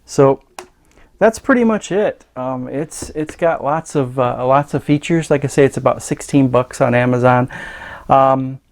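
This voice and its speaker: A man gives a casual hands-on product demo. His mid-pitched voice has a gravelly fry to it. He speaks in a halting, folksy, understated way. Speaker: radio gadget reviewer.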